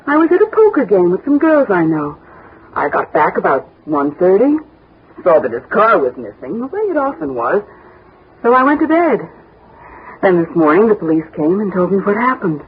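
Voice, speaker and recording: An actress in a 1960s radio drama playing a brisk, flirtatious widow who talks bluntly and familiarly, with a husky voice. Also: female voice, mid-range voice, big pitch swings. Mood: cool, guarded. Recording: tinny, band-limited 1960s radio recording.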